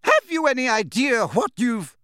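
Guy doing a furious strict old lady teacher, high voice, very emphatic and clipped, audiobook style. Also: huge pitch swings, moderate pace, bright, forceful tone, clean tone, no echo, studio quality, exaggerated voice. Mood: bellowing. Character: stern, furious older female schoolteacher, strict and imperious.

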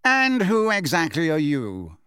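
A man's voice: sits high, huge pitch swings, unhurried, a bit bright, no room sound, clean studio sound, voice pushed up, exaggerated voice. A man voicing a pompous, impatient older government minister in an audiobook, lofty and supercilious. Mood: bored, lofty. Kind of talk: audiobook character voice.

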